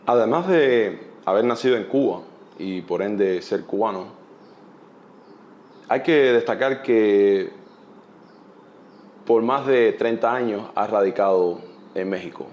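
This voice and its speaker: Slow, deep-voiced male interviewer. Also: a little mellow, lo-fi sound, slight background noise. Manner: slow, deliberate, formal. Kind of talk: interview questions.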